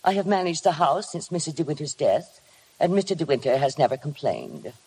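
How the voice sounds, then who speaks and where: An actress playing a cold, formal middle-aged housekeeper in a 1950s radio drama, measured and stiffly deferential. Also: female voice, low-pitched, animated, warm tone, a little hiss or hum, rough recording. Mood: formal, cool.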